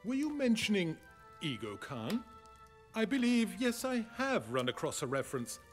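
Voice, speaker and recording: A man's voice: high voice, huge pitch swings, normal speed, soft background music. A man playing a mild, doddering elderly cartoon professor with a polite, hesitant, old-fashioned manner. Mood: mild, musing. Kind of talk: cartoon character acting.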